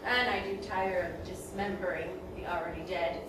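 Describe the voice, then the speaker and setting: A woman's voice: fairly low pitch, fairly even intonation, normal speed, lightly acted. A woman playing an imperious, condescending goddess queen of the underworld in a theatrical drama, speaking formally. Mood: coolly condescending. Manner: formal, haughty, commanding. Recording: distant, very echoey, noisy room recording.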